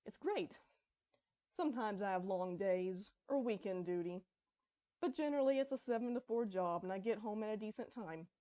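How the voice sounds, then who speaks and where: A woman's voice: mid-range voice, lively intonation, normal speed, dark tone, dull audio, natural voice. A woman voicing an upbeat, dutiful female royal guard captain in a story reading. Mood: content, matter-of-fact. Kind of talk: fan fiction story reading.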